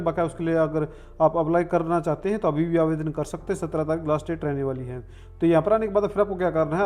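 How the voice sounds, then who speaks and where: A man's voice: on the high side, darkish tone. A man narrating a step-by-step tutorial in an instructional way, with repeated filler phrases. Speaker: online form tutorial presenter.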